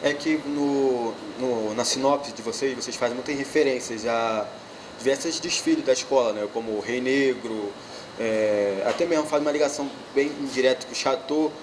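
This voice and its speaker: A man's voice: medium pitch, normal speed, noisy background. A man in the audience asks the panel an inquisitive, pointed question. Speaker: audience member asking a question.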